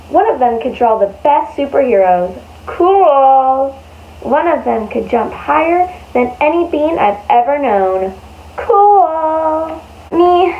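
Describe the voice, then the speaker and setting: High-pitched woman reading a children's story. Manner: animated, exaggerated emphasis with drawn-out words. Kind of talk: expressive read-aloud of a picture book for young children. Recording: dull lo-fi sound with faint noise.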